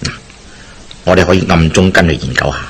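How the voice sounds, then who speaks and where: A man playing a confident schemer bent on justice in a Hong Kong Cantonese radio drama, explaining persuasively in a husky voice. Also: deep voice, fast talker, a bit bright, heavily acted. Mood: plotting, determined.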